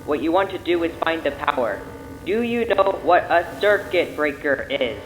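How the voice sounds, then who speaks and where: A man's voice: fairly high pitch, animated, normal speed, a bit bright, faint music behind, rough recording. A man playing a curt, sardonic, impatient scientist in a sci-fi audio drama, with dry condescension. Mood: urgent impatience.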